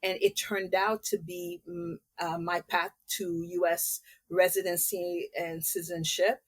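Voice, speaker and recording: Low-voiced woman telling her story. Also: measured pace, gravelly. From Haiti. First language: French. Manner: candid, personal storytelling. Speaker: guest artist. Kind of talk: casual interview.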